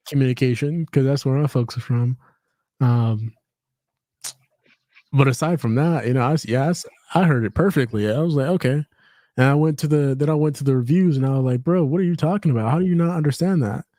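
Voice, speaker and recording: Casting: a mellow-toned male podcast host, rambling and full of restarts, recorded dry. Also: medium pitch, dry recording. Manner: rambling, many fillers and restarts. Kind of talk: casual chat.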